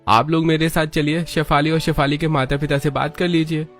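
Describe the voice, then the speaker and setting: Man voicing an eager young man in love, higher-pitched. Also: little pitch movement, brisk, fairly bright tone, faint music behind, close to an everyday voice. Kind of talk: Hindi family cartoon dubbing.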